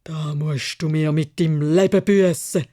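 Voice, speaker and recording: Woman voicing a hoarse, menacing Swiss German beast. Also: sits low, fairly even intonation, normal speed, mellow, lightly acted. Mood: furious. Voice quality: hoarse, croaking. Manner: menacing, commanding. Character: grim, wrathful beast guarding its castle roses.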